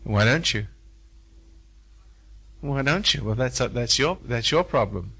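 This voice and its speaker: An older man holds forth to a seminar audience in a hotel function room. His voice is bright and forward, his manner folksy and sermon-like, driving points home by saying them twice. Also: mid-range voice, expressive, takes it slowly, vocal fry, slightly rough. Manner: folksy, preacherly, repeats phrases for emphasis. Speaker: seminar speaker. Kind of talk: live seminar talk with audience.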